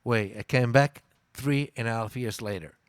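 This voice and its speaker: Italian man telling animated stories. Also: medium pitch, normal speed, smooth, no room sound, clean studio sound. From Italy. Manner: animated storyteller, repeats words, searches for English words. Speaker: guest. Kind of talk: casual interview chat.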